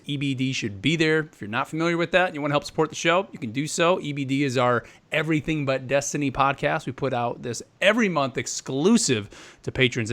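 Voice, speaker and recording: A man's voice: medium pitch, fairly bright tone. A man hosting a live gaming podcast, talking in an upbeat, chatty way. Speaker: podcast host.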